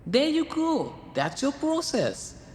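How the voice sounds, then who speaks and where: A wry, patient male muse, acted by a man in a radio drama, coaxing and gently teasing. His voice sits high and swoops about lively. It rings with a long reverb over faint noise. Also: slow, full character voice. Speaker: the writer's muse.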